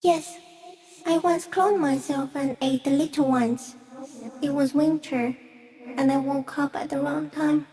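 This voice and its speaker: A woman voicing a giant stick insect in a video game, gentle, solemn and cryptic, speaking in slow, measured phrases. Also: high-pitched, steady tone. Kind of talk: video game character acting.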